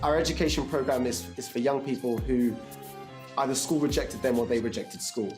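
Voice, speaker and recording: A man of middle pitch who talks quickly and articulately about a youth charity. He speaks in a measured way in an interview. Music plays clearly under his voice. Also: fairly even intonation. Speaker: youth organisation staff. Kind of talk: documentary interview.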